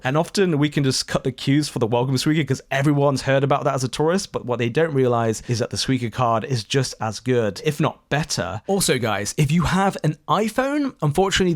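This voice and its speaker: A young man talking to camera with an upbeat, emphatic manner, giving travel tips as a vlog host. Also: medium pitch. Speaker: travel vlogger.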